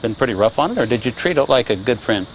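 A man's voice: mid-range voice, lively intonation, quick pace, a little hiss or hum, low-quality recording. An older man interviewing outdoors with a handheld mic, asking blunt personal questions in a casual way. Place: outdoors against a garage door.

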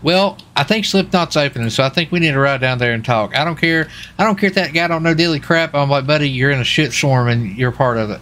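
A man's voice: a little high, fast, hardly any pauses, fairly bright tone, a little hiss or hum. Folksy American man chatting in game roleplay. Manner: folksy, slangy. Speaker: streamer playing a police marshal in an online roleplay game. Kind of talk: casual in-game roleplay chat.

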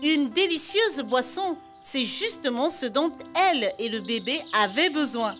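High-voiced woman narrating for preschoolers. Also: lively intonation, a little slow, over music. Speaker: narrator of a children's educational cartoon. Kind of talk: scripted storytelling narration for preschoolers.

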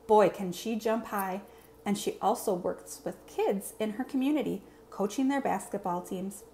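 A woman reading a picture book aloud to children in an expressive teacher's style. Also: mid-range voice, moderate pace. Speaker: read-aloud presenter, teacher. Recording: clear background room noise.

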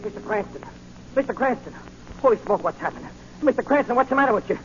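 An actor playing an excitable, slangy working-class sidekick in a breathless 1940s radio style. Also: male voice, extremely high pitch, quick pace. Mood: frantic, eager. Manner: breathless, ungrammatical, urgent. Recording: muffled, noisy 1940 radio recording.

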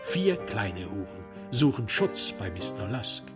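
A man playing a taunting serial killer who recites a macabre counting rhyme, deliberately and with drawn-out stresses, in a crime radio play. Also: fairly low pitch, sing-song, music in the background, voice pushed down.

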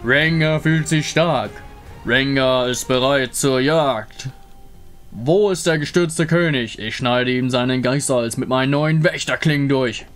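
Ein Mann spricht einen stolzen, prahlerischen Löwenkrieger in einem Fantasyspiel, der von sich in der dritten Person redet. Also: male voice, medium pitch, unhurried, fairly bright tone, soft background music, natural voice. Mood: triumphant. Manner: speaks of himself in third person, boastful. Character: proud boastful male lion-beast hunter.

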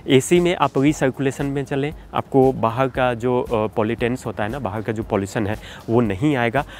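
A man's voice: medium pitch, normal speed, a little mellow, a little hiss or hum. A man in a casual vlog, explaining car tips in a conversational way, often dropping in English fillers like "you know" and "okay". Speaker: car vlogger.

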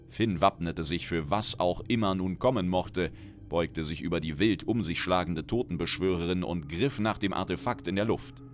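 Deep-voiced man narrating fantasy. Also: a little hiss or hum. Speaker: audiobook narrator. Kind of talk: dramatic scripted fantasy narration. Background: background music.

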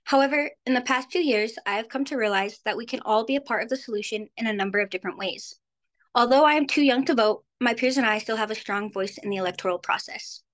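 A woman's voice: a little high, fast talker, fairly bright tone. A seventeen-year-old girl from New Mexico giving an earnest prepared speech on a video call. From New Mexico, USA. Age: teen, 17. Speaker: youth speaker. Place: indoors, virtual background. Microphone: video call webcam.

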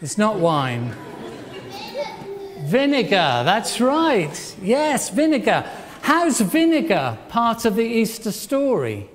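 A man's voice: high voice, wildly swooping pitch, slow. An older man giving an interactive church talk, putting questions to listeners and repeating their answers back approvingly. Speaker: church leader at an all-age service. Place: church hall, addressing a congregation. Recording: echoey church hall, faint background noise.

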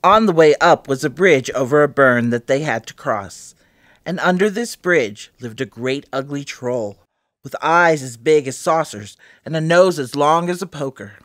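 A woman narrating a children's fairy tale for story time, with expressive, dramatic delivery. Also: low voice, measured pace, no room sound, clean studio sound.

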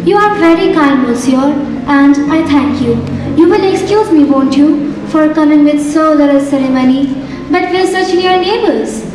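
A woman's voice: high-pitched, moderate pace, background noise, close to an everyday voice. A young woman playing a gracious young female singer on a school stage, speaking in a polite, formal way. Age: young adult. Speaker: student actress. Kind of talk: stage acting in a school play. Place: large theatre stage. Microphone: far stage mic. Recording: echoey hall from far off.

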